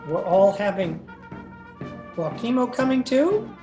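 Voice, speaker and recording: A sardonic hustler telling his misadventures in a radio play, acted by a woman. The voice is medium in pitch for a woman and wry, in an echoey room with music clearly behind it. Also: big pitch swings, slow. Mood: wry, quizzical. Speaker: lead actor and narrator. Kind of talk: old-time radio drama acting and narration. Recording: echoey video-call recording.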